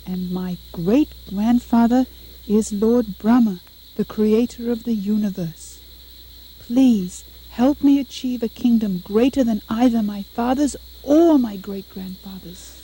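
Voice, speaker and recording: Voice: mid-range voice, takes it slowly, warm tone, husky, low-quality recording. An actor playing a determined five-year-old boy prince in a puppet show, speaking earnestly and formally. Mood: determined, pleading.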